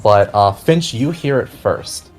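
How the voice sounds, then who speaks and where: Low-voiced man running a tabletop game. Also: lively intonation, slow. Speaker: game master. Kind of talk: narrating a tabletop fantasy game. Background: background music.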